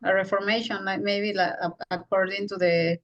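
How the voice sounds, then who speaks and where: A woman's voice: medium pitch, little pitch movement, moderate pace, muffled recording. Woman student, stammers and restarts, mid voice. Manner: hesitant, stammering. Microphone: video call.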